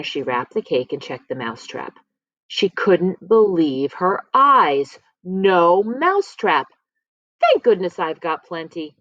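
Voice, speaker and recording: Very animated woman reading a picture book. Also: low voice, no room sound. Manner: expressive, dramatic pauses and emphasis. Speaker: read-aloud storyteller. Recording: slightly dull, lo-fi close recording.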